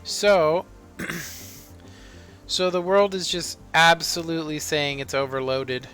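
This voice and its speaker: A man in his thirties, a live streamer chatting casually and rambling with lots of fillers in a monotone way. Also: fairly high pitch, takes it slowly. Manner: relaxed, rambling, many fillers. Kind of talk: casual live-stream chat while playing. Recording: faint background music.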